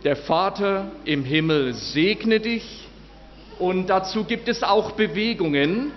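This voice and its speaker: A priest addresses his congregation in a slow, formal, measured way, his fairly high voice carrying through a large church with a long reverb. The sound is a bit dull, with faint room noise behind it. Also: animated. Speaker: parish priest.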